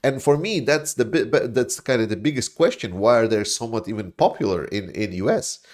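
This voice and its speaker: A man from Latvia, hosting a casual livestream and reading viewer chat, rambling and animated, with frequent swearing. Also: medium pitch, a little fast. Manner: rambling, animated, swears, mocks opponents in put-on voices.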